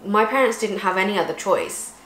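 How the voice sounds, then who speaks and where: A woman's voice: mid-range voice, expressive, a little fast, over a noisy crowd. A woman from England, chatting casually and explaining things at length as a vlog co-host. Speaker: vlogger co-host. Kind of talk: casual chat. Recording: echoey room with faint ambience.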